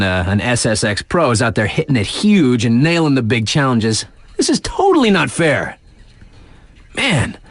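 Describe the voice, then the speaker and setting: A man's voice: medium pitch, no echo, clean studio sound, close to an everyday voice. An actor playing a laid-back, wry young male radio DJ for a snowboard game, with slangy, offhand, casual patter. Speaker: radio DJ on a ski mountain station.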